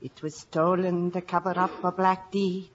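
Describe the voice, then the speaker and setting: An actress playing an old village wise woman who speaks in hushed, solemn tones, in a 1950s radio drama on a dull broadcast recording. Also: fairly low pitch, little pitch movement, normal speed, a little hiss or hum, lightly acted. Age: older.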